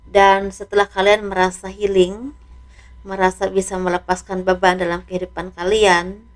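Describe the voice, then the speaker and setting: A slightly hoarse woman doing a casual tarot reading, speaking softly and letting her phrases trail off. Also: medium pitch, level tone, normal speed. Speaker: tarot reader.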